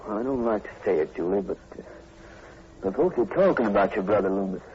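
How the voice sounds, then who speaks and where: An actor playing a stubborn, protective young country suitor in a 1940s radio drama. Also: male voice, fairly low pitch, sing-song, fairly quick. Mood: earnest, uneasy. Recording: muffled, faintly noisy 1940s radio recording.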